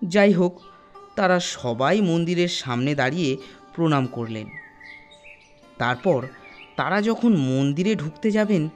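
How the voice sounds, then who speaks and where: A man's voice: on the high side, expressive, measured pace, pauses often, faint music behind. A man narrating a scripted ghost story for an animation, as a storyteller.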